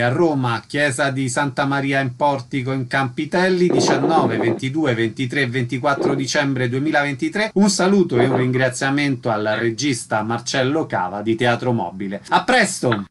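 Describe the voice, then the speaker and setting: A man's voice: mid-range voice, normal speed, hardly any pauses, a bit bright. A man hosting a radio interview, reading out show details and asking questions. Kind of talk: scripted radio announcements and interview.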